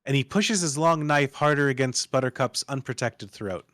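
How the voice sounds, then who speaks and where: A male narrator reads screenplay stage directions aloud. His voice is mid-pitched, and the sound is dry with no room around it. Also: moderate pace, a bit bright, no room sound.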